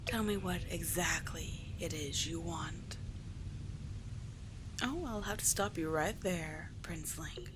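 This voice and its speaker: A woman playing a cunning, doting sea witch in an ASMR roleplay, breathy and measured, with coaxing, condescending endearments. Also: fairly low pitch. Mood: coaxing, teasing. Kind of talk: ASMR roleplay, scripted monologue. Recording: clear steady background ambience noise.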